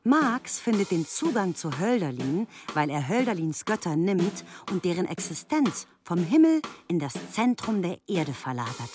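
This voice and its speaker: A woman's voice: on the low side, very animated, moderate pace, few pauses. A woman playing a confident, insistent socialist debater in a scripted radio drama, speaking emphatically with music underneath. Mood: assured.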